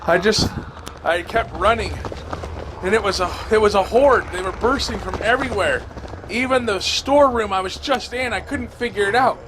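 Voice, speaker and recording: A man's voice: high voice, moderate pace, noisy background, pitched above the performer's own voice, close to an everyday voice. Man acting a haunted survivor in horror audio drama. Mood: panicked. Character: terrified wounded male survivor of a zombie horde. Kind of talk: first-person horror audio drama monologue.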